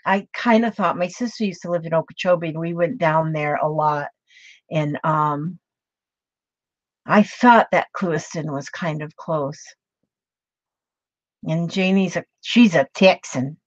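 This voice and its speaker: A rambling, chatty woman in her late sixties with a somewhat low voice talks to her viewers as she works on a craft. The sound is dry and close, a little dull and lo-fi. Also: measured pace, long pauses, dry recording. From Minnesota. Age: 60s. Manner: chatty and rambling, with many fillers and restarts. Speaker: crafting video host. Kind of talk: casual chat with live viewers while crafting.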